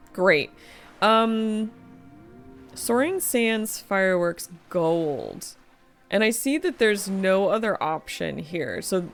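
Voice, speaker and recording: A woman's voice: on the low side, creaky. A tired, slightly sniffly woman streaming a game, chatting casually, reading chat aloud and laughing often. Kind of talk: casual gaming livestream chat.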